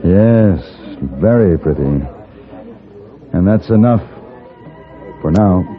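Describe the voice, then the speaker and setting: An actor playing a suave, courtly gunfighter for hire with dry, polished speech in a radio Western. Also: male voice, deep voice, expressive. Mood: smooth, wry. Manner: polished, wry, measured gentlemanly speech. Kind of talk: 1950s radio Western acting. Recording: tinny 1950s radio recording with music.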